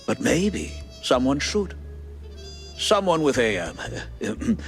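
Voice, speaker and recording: An actor playing a nervous, polite rodent informant in a noir cartoon game, stuttering and groping for words. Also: male voice, medium pitch, very animated, faint music behind. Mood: confiding, concerned. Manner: halting stutter, genteel phrasing.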